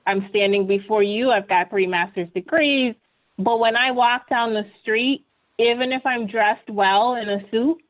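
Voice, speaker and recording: A woman from Ghana, giving an earnest, conversational webinar presentation and often checking in with "right?". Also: fairly high pitch. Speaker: webinar panelist. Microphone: video call. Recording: tinny video call audio.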